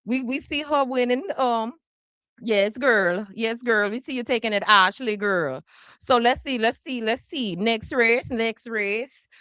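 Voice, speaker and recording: A woman's voice: a little high, measured pace, a bit bright, clear, resonant tone, no echo. A woman hosting a casual political commentary livestream, chatty and teasing, who swears freely and laughs often. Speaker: podcast host.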